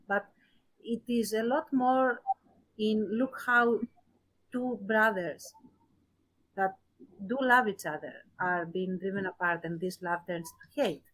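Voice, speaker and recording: A woman co-hosting a casual podcast discussion, talking thoughtfully with pauses and emphasis on key words. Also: mid-range voice, fairly bright tone, poor audio. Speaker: podcast co-host.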